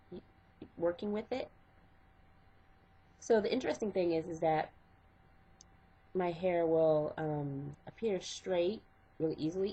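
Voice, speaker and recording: A woman's voice: lowish voice, mellow, slightly rough. A middle-aged woman and hairstylist, congested from a cold, talking step by step through a casual hair tutorial. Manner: step-by-step, conversational, with fillers. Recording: dull lo-fi home recording.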